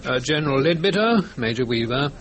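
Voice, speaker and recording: Lively-pitched, formal colonel, acted by a man. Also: fairly quick, crowd noise, close to an everyday voice. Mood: polite, flustered. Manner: formal, precise, polite. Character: genteel, fussy retired British colonel, society president. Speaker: narrator and chairman. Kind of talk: old-time radio drama storytelling.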